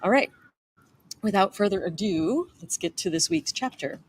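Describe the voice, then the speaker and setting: A woman's voice: medium pitch, sing-song, moderate pace, vocal fry, slight background noise. A middle-aged woman reading her own memoir aloud as its author, in a storytelling manner with the occasional stumble.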